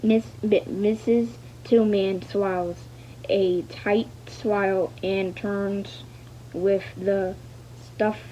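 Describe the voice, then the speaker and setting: A woman's voice: mid-range voice, little pitch movement, drawn-out words, dark tone. A woman reading a novel aloud hesitantly, stumbling over words, repeating fragments and speaking the punctuation. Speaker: learner reading a book aloud. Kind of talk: halting read-aloud of a novel. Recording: dull lo-fi sound with faint noise.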